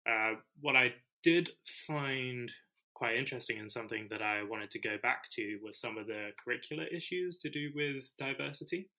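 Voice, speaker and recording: A man from the UK co-hosting an education podcast, explaining his points in a measured, thoughtful way. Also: lowish voice, pushed and bright, rough recording. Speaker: podcast co-host, teacher. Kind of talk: casual conversation.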